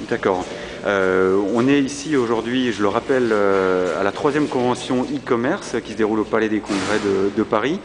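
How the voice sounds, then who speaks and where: A man with a fairly low voice conducts a podcast interview, hesitant and full of fillers. The ambient noise of a busy convention floor comes through clearly behind him. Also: normal speed. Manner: hesitant, many fillers and repeated words.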